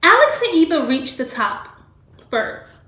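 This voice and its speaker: Female teacher, fairly high voice, expressive storytime delivery aimed at children, unhurried, recorded in an echoey room. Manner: deliberate, animated storybook delivery, addressing children directly. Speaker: teacher reading aloud to pupils. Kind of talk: expressive read-aloud with teaching asides.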